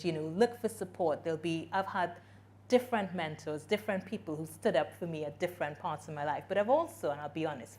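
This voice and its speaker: A middle-aged woman with a Trinidadian accent and a somewhat low voice. She answers a conference audience in an earnest, conversational manner, often saying "you know" and restarting her sentences. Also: a little mellow, a little hiss or hum. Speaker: invited speaker answering audience questions.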